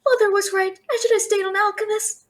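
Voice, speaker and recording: A woman playing a brash, fast-talking young female thief and failed alchemist in a fantasy audio roleplay, chattering with sarcastic, exasperated bluster. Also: very high-pitched, fairly even intonation. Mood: grumbling, wry. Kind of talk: fantasy audio roleplay, addressing an unseen listener.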